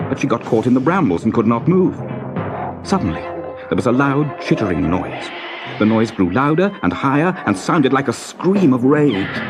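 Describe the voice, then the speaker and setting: A man narrating a children's story in a measured, dramatic, expressive manner. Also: mid-range voice.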